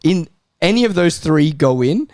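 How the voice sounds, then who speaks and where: A man's voice: fairly high pitch, animated, normal speed, a little mellow, dry recording, clean studio sound. A man talking casually and emphatically as a football podcast panellist, in colloquial language. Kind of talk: casual chat, football debate.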